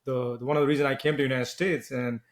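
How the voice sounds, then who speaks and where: Man from Nepal, podcast host, mid-pitched, rambling, repeats words. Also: fairly even intonation, racing. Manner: rambling, repeats words while forming questions. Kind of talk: interview questions.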